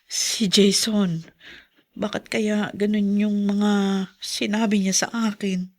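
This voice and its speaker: A woman's voice: medium pitch, fairly even intonation, takes it slowly. An actress playing an emotional, anxious middle-aged mother who is losing her sight, in a Filipino radio drama. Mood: pained, worried. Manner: emotional, pleading.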